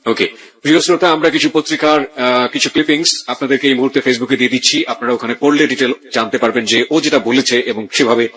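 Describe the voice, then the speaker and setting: A man's voice: medium pitch, fairly even intonation, fast talker, fairly bright tone, husky. A man with a Bangladeshi accent hosting a radio interview, addressing guest and listeners in formal, articulate language. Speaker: radio host.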